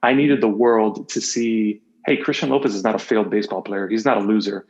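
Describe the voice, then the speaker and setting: Low-voiced man telling his story, slightly muffled. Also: fairly even intonation, brisk, a little mellow. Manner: conversational, repeats phrases for emphasis, acts out quotes. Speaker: podcast guest, former athlete and coach. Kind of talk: candid personal storytelling in casual interview.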